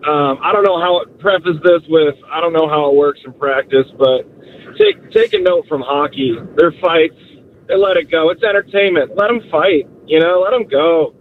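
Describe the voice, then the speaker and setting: A man's voice: on the high side, sing-song, normal speed, phone-quality sound, slight background noise. A man calling into a sports talk show, hesitant and restarting his sentences. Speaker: caller.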